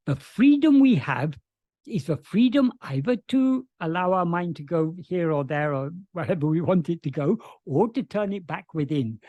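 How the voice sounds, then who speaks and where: A man's voice: a little high, warm tone, no room sound, highs cut off. A man on a video call, answering questions about spiritual teachings in an earnest way, often restarting his sentences. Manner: earnest, frequent restarts and stammered starts. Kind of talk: spoken explanation of spiritual teachings.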